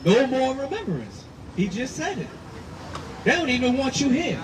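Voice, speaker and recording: A man preaching outdoors, forceful and emphatic, repeating his points. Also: extremely high pitch, very animated, moderate pace. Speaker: street preacher. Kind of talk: street preaching. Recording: distant mic with loud street noise.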